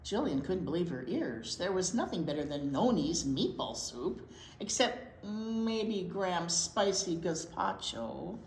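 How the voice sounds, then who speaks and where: Slow, animated woman reading a picture book. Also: lowish voice. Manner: animated, pauses to wonder aloud and question young listeners. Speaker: children's storytime reader at a library. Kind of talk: expressive read-aloud picture book storytelling with asides. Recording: roomy echo with clear background noise.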